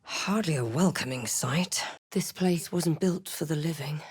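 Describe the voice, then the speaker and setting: An actress voicing a young female video game adventurer, wry, wary and thoughtful, with measured, theatrical delivery. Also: sits low, slightly rough, no echo, clean studio sound. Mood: wry, observant. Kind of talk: video game character voice lines.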